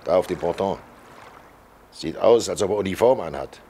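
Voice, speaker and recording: An actor playing Death as a fat, cynical undertaker with a sardonic, matter-of-fact manner, in a 1940s German radio play. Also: male voice, low voice, animated, normal speed, mellow, slightly rough, heavily acted. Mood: watchful, musing. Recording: old 1940s radio recording.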